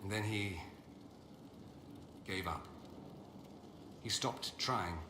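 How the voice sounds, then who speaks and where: An actor playing a witty, proud mage in a video game, speaking in a theatrical, sardonic and articulate manner. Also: male voice, fairly low pitch, very slow. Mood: rueful. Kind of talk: dramatic video game dialogue. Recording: roomy echo, faint ambient noise.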